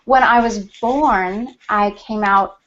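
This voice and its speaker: A woman hosting a panel on a video call, excitable and laughing, talking in quick bursts. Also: mid-range voice. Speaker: panel host. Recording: dull lo-fi video call audio.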